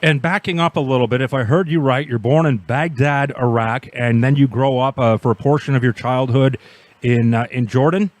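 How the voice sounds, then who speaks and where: A man hosting a scholarship program's interview, asking questions in a measured, politely probing way. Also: mid-range voice, no echo.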